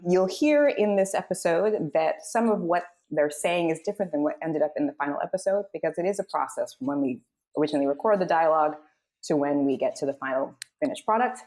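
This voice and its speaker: Lower-pitched woman hosting and narrating. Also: lively intonation, brisk, darkish tone. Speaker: host and narrator. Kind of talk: live table read hosting and script narration.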